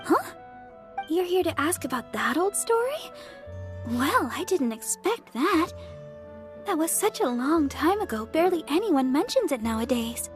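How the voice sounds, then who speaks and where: A woman's voice: high voice, sing-song, a little slow, soft background music. A woman playing a sweet, earnest young church member in a video game, polite, bubbly and helpful. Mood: puzzled, explaining. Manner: polite, bubbly, thinks aloud. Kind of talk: video game character acting.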